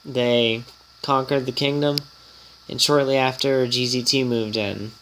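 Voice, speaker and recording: A man's voice: medium pitch, steady tone, a little slow, fairly bright tone, a little hiss or hum, rough recording. A man hosting a casual mobile game vlog, a bit nasal from an oncoming cold, rambling and chatty as he talks. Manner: rambling, chatty, easily startled. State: slightly nasal from a coming cold. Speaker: gaming channel host and guild leader.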